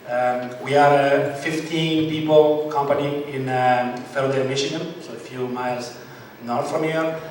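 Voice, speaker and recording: A man's voice: medium pitch, fairly even intonation, a little slow, hardly any pauses. Man introducing himself in an echoey hall. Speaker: panelist company president. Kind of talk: self-introduction on a panel. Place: event room. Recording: echoey hall mic from far off, background noise.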